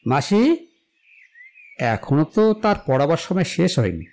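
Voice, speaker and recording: An actor playing a confident, witty young gentleman in an audio story, with a playful literary manner. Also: a little high, very animated, a little slow. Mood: eager. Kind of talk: Bengali audio story acting.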